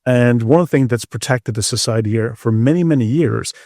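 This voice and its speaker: A man's voice: medium pitch, a little fast, darkish tone, dry recording, clean studio sound. A middle-aged man speaking as an expert guest, explaining things in a conversational interview. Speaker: expert guest, security researcher.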